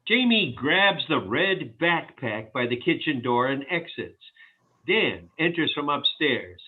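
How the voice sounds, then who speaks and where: Tinny, husky man reading stage directions. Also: fairly high pitch, very animated, slow pace. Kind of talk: scripted narration. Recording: tinny phone-like call line.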